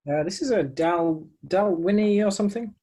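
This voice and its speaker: Guy co-hosting a podcast, slightly high voice for a man, casual chat. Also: normal speed, mellow. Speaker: podcast co-host.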